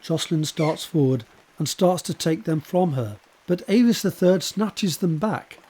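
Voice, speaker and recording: A man's voice: on the high side, lively intonation, a little slow, dark tone, husky. A man reading scripted stage directions as the narrator of an audio drama.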